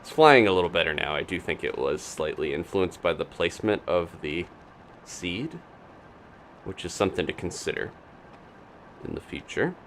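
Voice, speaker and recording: A man's voice: deep voice, very animated, moderate pace, pauses often, a bit bright, a little hiss or hum. A man giving casual live gameplay commentary, chatting and thinking aloud in unfinished asides. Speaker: video game streamer.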